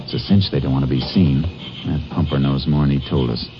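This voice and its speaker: Deep-voiced, decisive Texas Ranger. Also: male voice, fairly quick. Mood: watchful. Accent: American. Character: shrewd, steady male Texas Ranger investigator. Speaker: lead actor and first-person narrator. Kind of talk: 1950s radio drama acting. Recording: muffled old radio recording, music.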